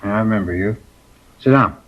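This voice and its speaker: A man's voice: lowish voice, brisk, lightly acted. An actor playing a jittery, hungover male carnival informant who rambles and repeats himself in a 1950s radio crime drama. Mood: jittery, rambling. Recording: old lo-fi radio broadcast with hiss.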